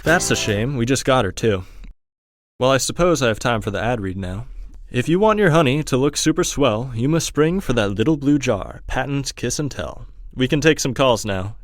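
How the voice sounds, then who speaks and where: A man playing a smooth-talking, florid, wistful late-night jazz DJ in an amateur radio drama. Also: mid-range voice, expressive, moderate pace, faint music behind, close to an everyday voice. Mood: unruffled, suave.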